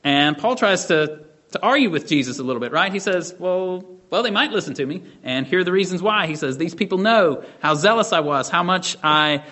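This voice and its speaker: A man leads a Bible study lesson, explaining a passage in a relaxed, conversational way. His voice sits a little on the high side, and he restarts phrases and checks in with his listeners as he talks. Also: fairly quick. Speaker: Bible teacher.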